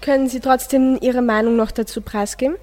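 A woman's voice: a little high, steady tone, brisk, a little mellow, in a large hall. A teenage girl, formally moderating a student radio discussion.

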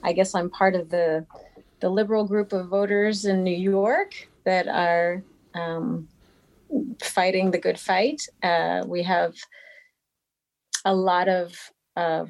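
Low-voiced woman from the US. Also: gravelly, darkish tone. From United States. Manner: hesitant, with many fillers. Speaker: guest. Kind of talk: casual self-introduction.

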